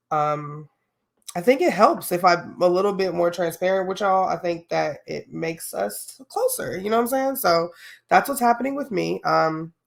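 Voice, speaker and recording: A woman's voice: lowish voice, vocal fry. A young adult woman hosting a solo podcast, talking casually and candidly with lots of fillers and slang, a little sniffly from a cold. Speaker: podcast host.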